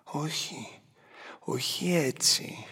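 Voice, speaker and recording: An actor playing a cruel, mocking young lover turned betrayer in a radio drama, with a breathy, raspy voice and a menacing manner. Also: male voice, a little high, pushed and bright, big-room sound.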